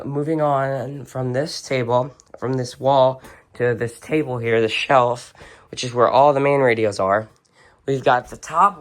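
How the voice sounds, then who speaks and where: A video host giving a casual, unscripted show-and-tell indoors in an informal, halting way. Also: mid-range voice. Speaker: video host and weather radio collector. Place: indoors, in a room with shelves of radios.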